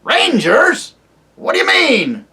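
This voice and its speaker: A reader voicing a very old, deaf and irritable male dwarf who talks loudly and mishears words, in a storybook reading. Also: high voice, wildly swooping pitch, takes it slowly, pitched above the performer's own voice, exaggerated voice. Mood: testy, loud. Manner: loud, testy, mishears words.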